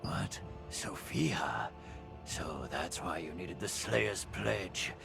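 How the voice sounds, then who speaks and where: Gravelly, swaggering resistance fighter. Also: male voice, low voice, expressive, very slow, full character voice. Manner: loud, theatrical, swaggering. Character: brash, boisterous resistance leader who relishes a fight. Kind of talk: video game voice acting. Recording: music under the voice.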